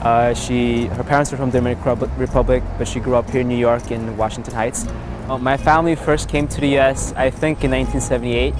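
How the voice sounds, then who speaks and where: Relaxed young man telling his story. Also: medium pitch. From Indonesia. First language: Sundanese. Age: 20s. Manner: relaxed, frequent filler pauses. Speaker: interviewee. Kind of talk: casual personal storytelling.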